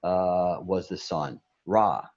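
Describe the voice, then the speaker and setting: Man, low voice, rambling spiritual chat over a muffled call line, restarts and repeats. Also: slow pace, darkish tone. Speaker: guest on a meditation talk.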